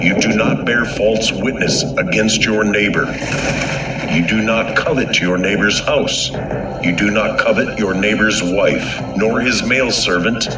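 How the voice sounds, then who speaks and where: Casting: male actor for a commanding, solemn deity in scripture drama, deepish voice, reverberant, music underneath. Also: natural voice. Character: commanding male God, solemn and stern lawgiver. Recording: echoey reverb with music behind.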